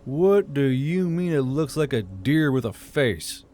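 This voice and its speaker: A man's voice: medium pitch, very animated, unhurried, mellow, slight background noise. A man voicing a commanding, good-humoured military warlord in an audiobook, terse but amiable.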